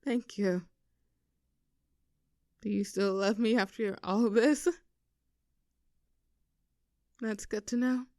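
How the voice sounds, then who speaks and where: A woman's voice: mid-range voice, fairly even intonation, mellow, dry recording, studio quality. A woman playing an affectionate, teasing girlfriend with a vulnerable streak in an intimate roleplay audio drama. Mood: vulnerable, tender. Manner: teasing, halting when earnest.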